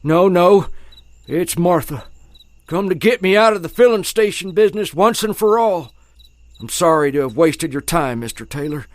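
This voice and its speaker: An old filling station owner in an audio drama. He is grouchy and superstitious, with old-fashioned folksy phrasing. His voice sits fairly high for a man, and the sound is dry. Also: expressive, moderate pace, no echo. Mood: defeated, resigned.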